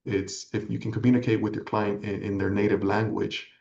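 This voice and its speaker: A man from California whose first language is Spanish, giving earnest, measured, reflective answers as an interview guest. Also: lowish voice, little pitch movement, creaky, a little mellow. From California, United States. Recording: echoey room, slightly dull audio.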